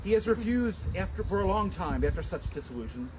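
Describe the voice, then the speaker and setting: A man giving a dramatic, declamatory public reading of a historical document in deliberate oratory, outdoors. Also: high-pitched, very animated. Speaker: public reader at a commemoration. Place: outdoors at a memorial site. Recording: noisy outdoor live stream, traffic.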